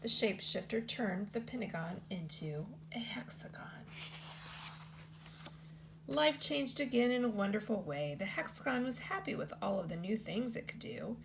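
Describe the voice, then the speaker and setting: A woman teacher reading a children's picture book aloud in an expressive storytelling style. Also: moderate pace, vocal fry, a little hiss or hum. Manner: expressive read-aloud with lots of pitch movement.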